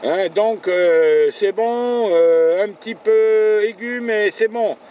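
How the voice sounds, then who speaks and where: A man with a slightly high voice makes casual ham radio contacts from a car, with faint vehicle noise around him. He rattles off call signs and jargon and often repeats his words. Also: takes it slowly, dark tone. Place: inside a car, mobile radio station.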